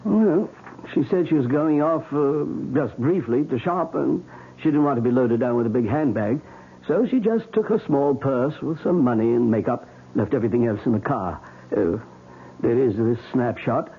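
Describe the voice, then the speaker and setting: An actor playing an even-tempered, wry hospital doctor in a radio drama, casual and explanatory. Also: male voice, medium pitch, moderate pace, husky. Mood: matter-of-fact. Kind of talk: 1970s radio drama acting. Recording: tinny narrow-band old radio recording.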